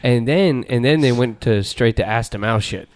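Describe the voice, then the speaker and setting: Man, middle-aged, podcast host, deadpan rambling banter, medium pitch, dry home recording. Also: moderate pace, no room sound. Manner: rambling, deadpan, trails off and restarts. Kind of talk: casual joking banter. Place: home garage studio.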